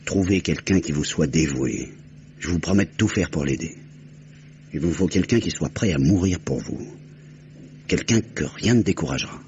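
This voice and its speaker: Deep-voiced, earnest police inspector. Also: male voice, expressive, darkish tone. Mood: urgent, earnest. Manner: measured, earnest, urging. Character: grave, insistent police inspector with a conscience. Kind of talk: old French radio mystery acting. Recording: muffled, hissy old radio recording.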